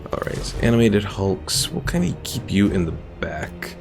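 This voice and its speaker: A man streaming a strategy game in casual commentary, relaxed and rambling, reading viewer chat aloud between moves. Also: on the low side, measured pace, creaky. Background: game music and battle sounds.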